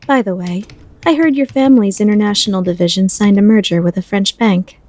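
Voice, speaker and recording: A woman's voice: medium pitch, a little fast, darkish tone, natural voice. A woman playing a refined, aloof teenage rich girl with formal, composed speech in an anime fandub. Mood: cool, aloof. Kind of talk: anime fandub radio play acting.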